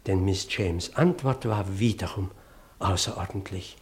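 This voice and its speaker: An actor playing a flustered, pedantic parson who tells his dramatic story in in a radio play. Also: sits low. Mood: aggrieved, formal. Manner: fussy, dramatic, halting when agitated.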